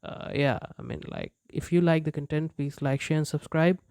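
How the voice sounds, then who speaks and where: A man's voice: fairly high pitch, normal speed, gravelly, a little mellow, clear, resonant tone, no room sound, studio quality. A young man who speaks English as a non-native language, rambling in a casual vlog with lots of fillers and restarts and frequent chuckles. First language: not English. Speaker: YouTuber. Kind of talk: casual unscripted vlog chat.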